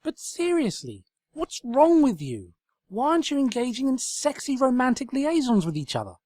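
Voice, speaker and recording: A man's voice: huge pitch swings, normal speed, darkish tone, dry recording, full character voice. A man voicing a manic, bossy young female unicorn in a comedic story reading, ranting and melodramatic. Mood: exasperated. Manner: shrill, ranting, insistent.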